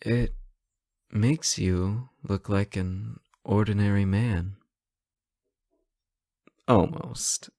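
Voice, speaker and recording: A man's voice: on the low side, fairly even intonation, drawn-out words, long pauses, a little mellow. A man playing a teasing, easily flustered superhero who is in love with his nemesis, in an intimate audio roleplay. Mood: playful. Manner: flustered stammering mixed with teasing. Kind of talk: intimate scripted audio roleplay, one-sided dialogue.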